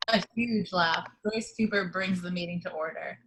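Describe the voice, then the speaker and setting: A woman's voice: lowish voice, normal speed, a bit bright, a little hiss or hum, low-quality recording. A woman reading scene headings and action lines as narrator of a script table read.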